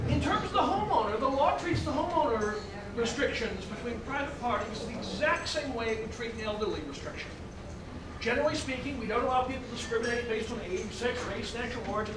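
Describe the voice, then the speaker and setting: A man explaining zoning law at a town forum in a loud, lecturing, skeptical way. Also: extremely high pitch. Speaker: attorney. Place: meeting room. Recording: echoey room, far from mic, noisy.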